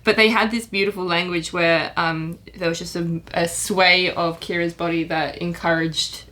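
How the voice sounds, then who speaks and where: A young woman co-hosting a podcast, talking in a warm, effusive way with lots of hesitations. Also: on the low side, fairly even intonation, normal speed. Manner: effusive, hesitant with many ums. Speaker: podcast co-host. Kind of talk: casual review chat.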